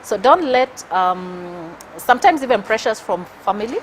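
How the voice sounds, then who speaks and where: A middle-aged Kenyan woman talks calmly as a studio guest, weighing her words and pausing often as she thinks. A faint hiss of noise sits under her voice, and her pitch is mid-range. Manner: thoughtful, measured, with frequent hesitations. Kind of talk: casual interview chat.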